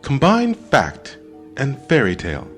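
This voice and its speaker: A man's voice: mid-range voice, huge pitch swings, a little slow, a bit bright, faint music behind, voice pushed up. A man voicing a patient, worldly male robot companion in an audiobook, confident and a little playful. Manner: cautioning, confident, showy.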